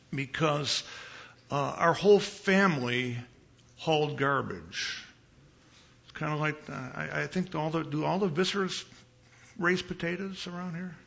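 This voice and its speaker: A man from Chicago preaching as a visiting pastor in a church, with a big voice and an unhurried, conversational manner, pausing and repeating phrases for emphasis. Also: fairly high pitch, gravelly, a bit bright, muffled recording. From Chicago, United States. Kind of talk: conversational sermon. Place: church sanctuary.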